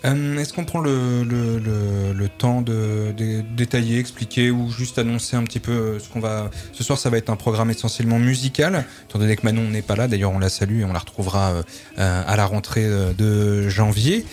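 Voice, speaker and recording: A man's voice: on the low side, steady tone, fairly quick, darkish tone. A man hosting a community radio music show, hesitating with many fillers and restarts and laughing easily. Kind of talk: casual radio chat.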